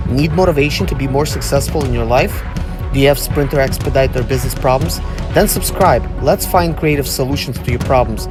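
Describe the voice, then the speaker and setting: A man in his thirties gives an earnest, upbeat self-promotional pitch in a mid-pitched voice. Clear music plays under his voice throughout. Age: 30s. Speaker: channel host. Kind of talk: motivational channel promo. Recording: voice over background music.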